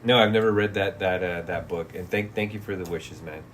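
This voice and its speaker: A man's voice: deep voice, fairly quick, slight background noise. A man in his late thirties chatting casually on a livestream, reading chat messages and replying in a laid-back, profane way that often trails off into mumbles. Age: 30s. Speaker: streamer.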